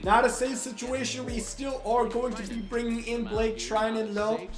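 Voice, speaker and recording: A young man doing casual, excitable play-by-play over his own video game, a bit congested from a cold, in a small room at home. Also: very high-pitched, moderate pace, faint music behind. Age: young adult. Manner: excitable play-by-play, calls hits like a broadcaster. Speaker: gaming YouTuber. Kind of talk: casual gameplay commentary.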